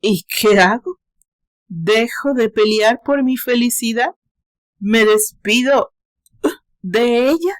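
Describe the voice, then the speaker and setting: A woman plays a wealthy man deep in drink and heartbreak in an audio drama. The words drag and slur, bitter and pleading, in a high-pitched, emotional voice. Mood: desolate. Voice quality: slurred, drawn-out drunken delivery. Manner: emotional, broken by gasps and bitter laughs.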